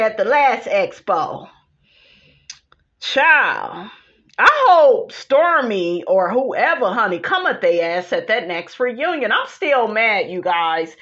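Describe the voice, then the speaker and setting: A woman with an American accent hosting a gossip podcast, talking casually in a sassy, slangy way with animated asides, on muffled lo-fi audio. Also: fairly high pitch. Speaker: podcast host. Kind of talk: casual gossip commentary, reading posts aloud.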